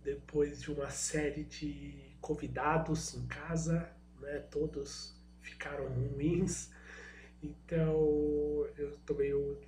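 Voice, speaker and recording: A middle-aged Brazilian man with a slightly hoarse voice, giving an informal talk as a guest speaker in a hesitant way, searching for words and adding tag questions. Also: fairly high pitch, level tone, takes it slowly, darkish tone. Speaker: guest speaker, product designer and innovation agent. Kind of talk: informal spoken presentation. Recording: echoey room with faint hum.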